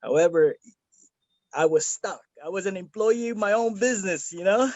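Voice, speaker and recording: A man's voice: high-pitched, normal speed, darkish tone, no room sound. A man from the Philippines giving an earnest, rambling testimonial over a video call, with many fillers and restarts. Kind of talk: casual video-call chat.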